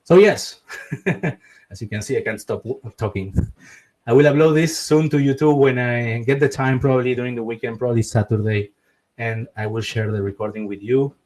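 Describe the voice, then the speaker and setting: A middle-aged man from Argentina talks in English with a Spanish accent, closing out an online webinar. He sounds earnest and keeps repeating key words for emphasis, in an ordinary mid-pitched voice. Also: normal speed, a little mellow. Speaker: webinar host and relocation advisor.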